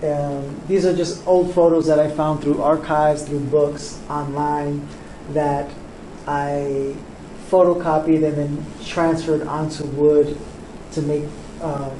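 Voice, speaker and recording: Soft-spoken New artist in a roomy studio. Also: male voice, a little high, flat delivery. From Cuba, raised in New York. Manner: soft-spoken, unhurried, with frequent ums. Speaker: visual artist, interviewee. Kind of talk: relaxed interview answers. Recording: roomy studio, faint background noise.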